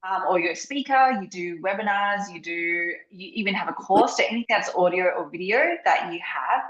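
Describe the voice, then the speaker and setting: A woman with an Australian accent speaks over a dull, echoey video call line. She talks in a halting way, full of fillers and restarts, with her voice in a middle range. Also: medium pitch, animated, a bit bright. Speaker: software company co-founder. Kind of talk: casual self-introduction.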